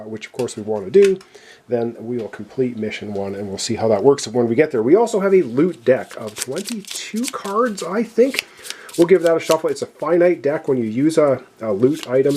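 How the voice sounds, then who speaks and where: Male tabletop game presenter. Mellow, slightly husky voice, casual step-by-step explainer delivery. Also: mid-range voice. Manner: explanatory, talking viewers through step by step. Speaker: board game player and presenter. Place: indoors at a gaming table.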